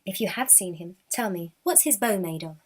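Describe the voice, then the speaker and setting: A woman's voice: medium pitch, lively intonation, moderate pace, close to an everyday voice. A woman voicing a wise, kind sister in a children's folktale, exclaiming and questioning earnestly. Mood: eager. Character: wise, gentle, wonder-filled sister of a spirit.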